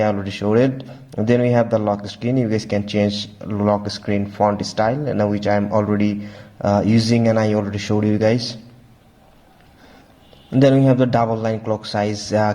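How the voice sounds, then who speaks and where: A man giving a casual, halting walkthrough as a tech reviewer, often repeating stock phrases. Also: fairly low pitch, dark tone. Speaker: tech reviewer, video host.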